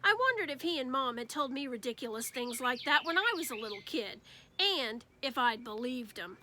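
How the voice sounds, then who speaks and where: A woman reading a children's book aloud as an expressive storyteller. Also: sits high, normal speed, a little hiss or hum.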